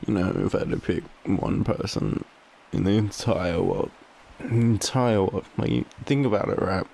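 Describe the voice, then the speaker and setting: A man's voice: fairly low pitch, normal speed, gravelly, dark tone, slight background noise. A tired man with an Australian accent, speaking sleepily and affectionately in an intimate bedtime boyfriend roleplay. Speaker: audio roleplay performer playing a boyfriend.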